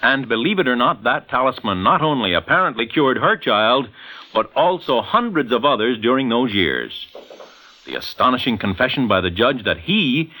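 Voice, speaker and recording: A man's voice: medium pitch, sharp tone, poor audio. A man hosting a 1940s radio show, narrating from a script in a dramatic, emphatic way.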